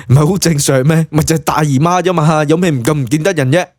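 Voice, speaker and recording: A man's voice: fairly high pitch, moderate pace, a little mellow, no echo, studio quality, natural voice. A man voicing a cocky, blunt young fighter in a Cantonese audio drama, exclamatory and casually dismissive. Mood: amused, unbothered.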